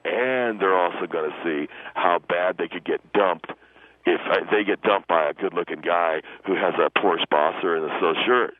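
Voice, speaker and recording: A man's voice: low-pitched, normal speed, pitched below the performer's own voice. A man voicing a vain, pompous younger American radio guest who pushes his slangy opinions on a comedy show. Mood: defensive, boastful. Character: vain, smug younger man, self-styled relationship expert guest. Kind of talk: comic radio interview character. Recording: muffled phone line with loud noise.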